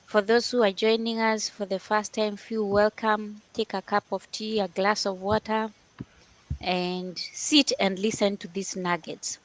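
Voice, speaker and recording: A woman's voice: mid-range voice, poor audio. A middle-aged woman with a Kenyan accent introduces herself as a panelist and addresses the audience. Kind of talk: welcoming self-introduction to an audience.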